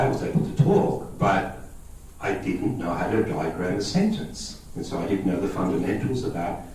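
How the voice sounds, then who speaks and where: A man's voice: on the low side, a little mellow, far from the mic. Greek-born man talking in an echoey hall. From Australia. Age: 50s. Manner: conversational, checks in with listeners. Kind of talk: public talk to a lay audience.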